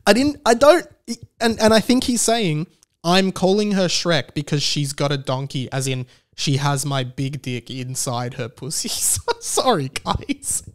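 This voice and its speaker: Australian male podcast host, thirties, higher-than-average voice, casual delivery with wry, mock-serious musings. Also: expressive, measured pace. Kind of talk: casual solo podcast commentary.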